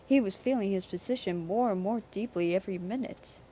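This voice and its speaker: A woman's voice: mid-range voice, fairly quick, mellow, slight background noise, rough recording. A woman narrating a children's storybook aloud from a script.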